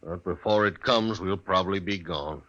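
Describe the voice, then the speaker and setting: A man's voice: deep voice, pushed and bright, muffled recording. An actor playing a big, plain-spoken American mountain man, a protective husband, in a western radio drama. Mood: guarded, weary. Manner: plain-spoken, folksy, deliberate.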